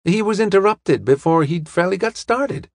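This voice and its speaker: A man playing a cheerful, brisk young American man in an audiobook, informal and upbeat. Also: high-pitched, expressive, dry recording, studio quality, voice pushed up. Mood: wry.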